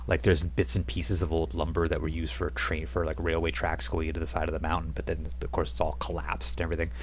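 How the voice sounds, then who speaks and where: A man's voice: a bass voice, completely flat delivery, fast, slight background noise. A man running a tabletop game as game master, setting scenes in a loose, rambling way with casual asides.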